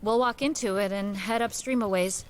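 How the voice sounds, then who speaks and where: A woman playing a weary, determined, haunted heroine in a supernatural Old West audio drama, speaking in a measured, emotive way. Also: mid-range voice, background noise, lightly acted. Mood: resolute. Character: weary, determined woman sharing her body with a murderer.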